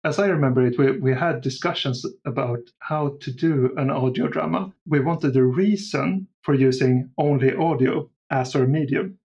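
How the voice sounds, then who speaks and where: A man's voice: mid-range voice, moderate pace, gravelly, hardly any pauses, darkish tone, highs cut off. A man chatting casually as a podcast guest about the audio drama he makes. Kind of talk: casual interview chat.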